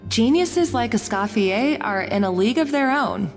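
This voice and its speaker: Earnest, high-voiced anxious young kitchen worker. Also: female voice, expressive, normal speed, in a large hall, soft background music. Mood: earnest, explanatory. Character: anxious, apologetic young woman working in a restaurant kitchen. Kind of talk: video game voice acting.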